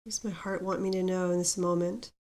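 Woman doing guided meditation, kinda low voice, really flat even tone, gentle and takes her time. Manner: gentle, unhurried instruction with pauses. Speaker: meditation guide, podcast host. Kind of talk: guided meditation with mantra chanting.

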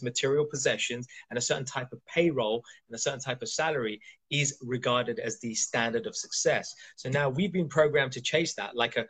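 Husky man asking emphatic rhetorical questions. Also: medium pitch, fairly bright tone. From Kenya. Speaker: coach and podcast host. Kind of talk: casual interview chat.

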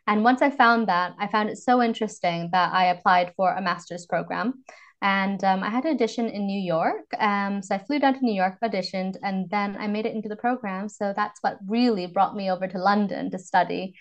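A woman's voice: mid-range voice, a little fast, lo-fi sound. A woman in her thirties from Canada with a Canadian accent, a voice and communications coach speaking in a podcast interview, articulate and reflective. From Vancouver, Canada.

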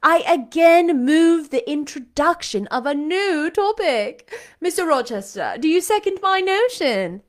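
A woman's voice: high-pitched, lively intonation, measured pace, pitched above the performer's own voice. A woman reading aloud, voicing a proud, scornful young aristocratic lady who talks in an imperious, showy way. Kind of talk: audiobook character reading.

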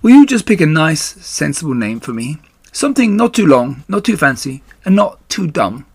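Man doing a tiny, high, eager young female spider. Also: very animated, normal speed, husky, no echo, full character voice. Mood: eager, friendly. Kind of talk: children's storybook read-aloud.

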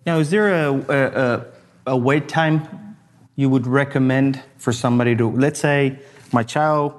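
A man's voice: mid-range voice, measured pace. A man from Argentina hosting an interview, chatty and agreeable, with lots of restarts as he asks questions. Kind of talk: casual interview chat.

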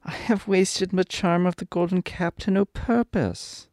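A man's voice: high voice, wildly swooping pitch, brisk, a little mellow, no echo, studio quality, voice pushed up, exaggerated voice. A man voicing an earnest little girl who longs for home, in a storybook reading. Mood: disappointed.

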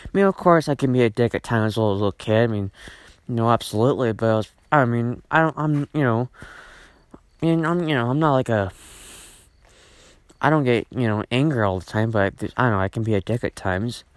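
Rambling teenage guy, smooth dry voice. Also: mid-range voice, a little fast, dry recording. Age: teens. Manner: rambling with many fillers and restarts, casual swearing. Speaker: podcast host. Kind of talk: rambling solo podcast monologue.

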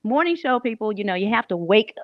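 A woman's voice: mid-range voice, lively intonation, brisk, no echo, muffled recording. A middle-aged woman co-hosting a casual morning podcast, teasing her partner and telling stories. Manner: teasing, storytelling. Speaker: podcast co-host.

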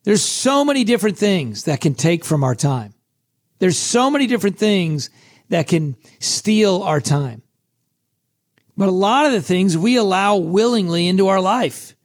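A man hosting a motivational podcast, talking in a casual, chatty way with a hoarse, scratchy voice. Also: sits high, animated, unhurried. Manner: casual and chatty, with many restarts. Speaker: podcast host.

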